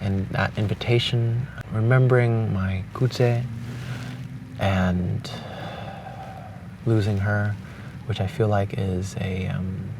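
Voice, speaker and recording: Young guy from hong kong, cantonese first language, kinda low voice, talking slow and thoughtful, mic a bit far off on a beach. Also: level tone. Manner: thoughtful, pausing with restarts. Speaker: vlogger. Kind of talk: reflective spoken letter. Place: beach at dawn, outdoors. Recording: distant outdoor mic with noise.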